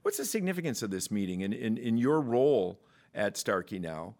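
A man's voice: on the low side, sing-song, fairly quick, a little mellow. A man hosting a podcast interview, joking with his guest and talking in long rambling questions with many restarts. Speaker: podcast host.

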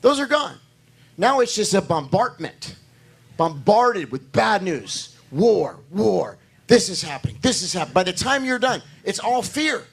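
A hoarse male pastor preaching a sermon in a church, rising into emphatic declarations. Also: high-pitched, sing-song, unhurried.

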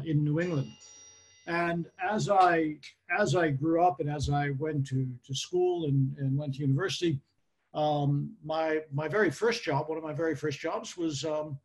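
An older man with a Boston accent speaks in a slightly raised register. He talks in a measured, hesitant way, full of ums and restarts. He comes across as an interview guest telling his story. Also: a little high, poor audio. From Boston, United States. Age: 60s. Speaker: guest, occupational safety expert. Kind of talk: casual interview.

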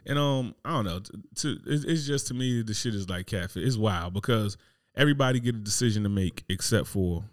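Fast-talking man in casual chat. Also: fairly low pitch, no room sound, studio quality. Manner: animated, slangy, rhetorical questions.

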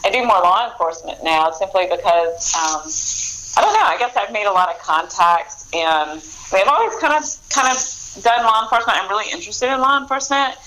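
A woman's voice: mid-range voice, animated, brisk, sharp tone, slight background noise, low-quality recording. A woman, a novelist answering interview questions in loose, friendly talk full of fillers and laughter. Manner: chatty, laughs often, many fillers and restarts. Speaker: author guest.

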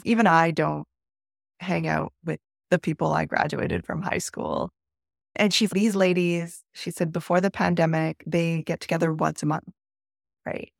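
Brief: middle-aged woman from Peru, low voice with vocal fry, reflective storyteller. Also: no room sound, clean studio sound. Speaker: podcast guest.

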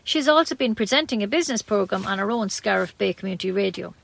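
A woman's voice: mid-range voice, animated, fast, vocal fry, a bit bright. A woman with an Irish accent reading scripted local news as a community radio newsreader.

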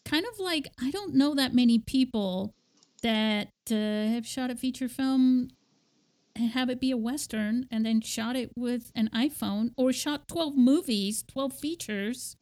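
A woman's voice: on the high side, measured pace, darkish tone. A woman interviewing a filmmaker, asking friendly, chatty questions. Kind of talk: casual interview.

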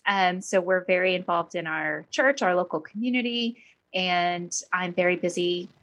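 Woman, 30s, from Oklahoma; low-pitched, candid podcast guest talking it through. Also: normal speed, a bit bright, muffled recording. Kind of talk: conversational interview.

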